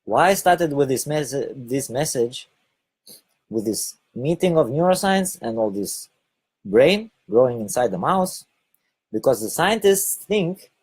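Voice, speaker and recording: A man from Lithuania with a Lithuanian accent, giving a halting spoken monologue and often repeating or correcting his words. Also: medium pitch, very animated, slightly rough. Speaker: online video host.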